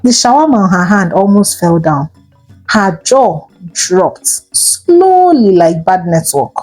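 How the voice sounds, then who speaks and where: A Nigerian woman narrating a folktale in a theatrical, expressive style, drawing out words and exclaiming as she goes. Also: medium pitch, takes it slowly, faint music behind. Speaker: storyteller. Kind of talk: dramatic folktale storytelling narration.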